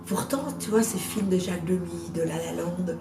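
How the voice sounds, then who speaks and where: A woman in her sixties with a cold, chatting in an interview about her first novel, hesitant with many fillers and restarts but lively in bursts. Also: on the low side, moderate pace, roomy sound, far from the mic, background noise. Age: 60s. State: has a cold. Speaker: guest, novelist. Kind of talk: casual interview chat.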